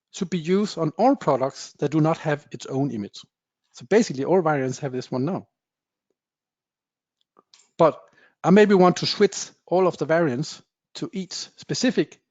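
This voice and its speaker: Man giving a software demo. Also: a little high, no room sound. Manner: plain explanatory talk with frequent uh fillers, non-native phrasing. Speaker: software presenter. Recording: dull dry webinar audio.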